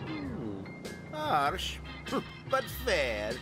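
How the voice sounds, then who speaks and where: An actor playing a pompous, cowardly deposed dictator in a video game, theatrical and whiny. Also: male voice, high-pitched, wildly swooping pitch, very slow, heavily acted. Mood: peevish. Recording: loud music and helicopter behind voice.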